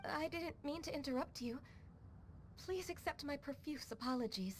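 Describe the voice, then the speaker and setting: A woman playing a gentle, easily flustered young female secretary in a video game, polite, hesitant and deferential. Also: high-pitched, normal speed, slight background noise, natural voice. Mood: apologetic. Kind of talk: video game cutscene voice acting.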